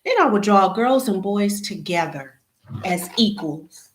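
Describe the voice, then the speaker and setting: A woman reading a children's picture book aloud, phrase by phrase, with expressive emphasis at the start of sentences. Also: medium pitch, measured pace, slight background noise. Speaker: read-aloud storyteller. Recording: echoey room.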